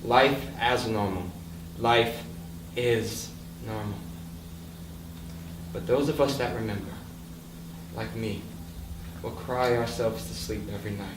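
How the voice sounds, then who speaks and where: A man's voice: on the low side, fairly even intonation, takes it slowly, long pauses. Echoey, mournful Gulf Coast native played by a man. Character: mournful Louisiana Gulf native grieving his land. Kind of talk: staged dramatic reading, monologue. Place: live staged reading indoors. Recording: distant, echoey room, faint noise.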